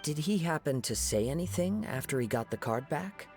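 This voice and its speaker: A man playing a calm, thoughtful, courteous young hero in a video game, speaking in a measured, gentle way. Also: a little high, faint music behind. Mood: gentle, reasoning. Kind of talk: video game cutscene acting.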